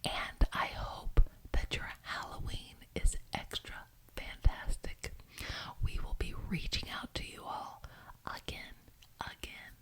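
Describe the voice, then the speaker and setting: A woman playing a gracious but bossy witch who chairs a coven meeting in an ASMR roleplay, speaking deliberately and repeating words for emphasis. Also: lightly acted. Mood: gracious, insistent.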